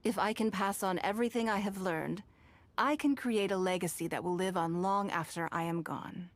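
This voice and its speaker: A woman playing a poised, authoritative and protective noblewoman in a video game, measured and formal. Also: medium pitch, no echo, close to an everyday voice. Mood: solemn.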